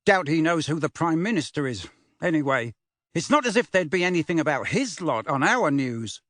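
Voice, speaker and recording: A male reader acts out a scornful middle-aged uncle in an audiobook story. He grumbles scathingly and suspiciously, pitched a little high. The sound is close and dry, with no room on it. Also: very animated, normal speed, fairly bright tone, no room sound. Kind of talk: audiobook character voice.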